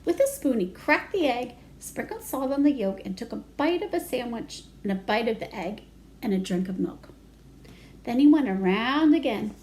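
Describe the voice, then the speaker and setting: Female storytime reader: high, very lively intonation, simple child-directed phrasing. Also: moderate pace. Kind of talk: picture-book read-aloud with asides to children.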